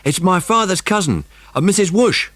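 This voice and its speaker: A man voicing an excitable working-class boy, eager and bossy, in a children's audiobook. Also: high-pitched, moderate pace, dry recording, pitched above the performer's own voice. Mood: chatty, forthright. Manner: colloquial, exclaiming, eager. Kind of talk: dramatised children's audiobook acting.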